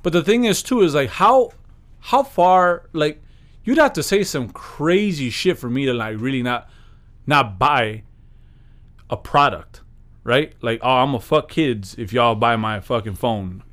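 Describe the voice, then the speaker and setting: A man's voice: mid-range voice, expressive, measured pace, a bit bright. A man co-hosting a podcast in casual chat, emphatic and profane, often agreeing with his partner. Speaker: podcast co-host.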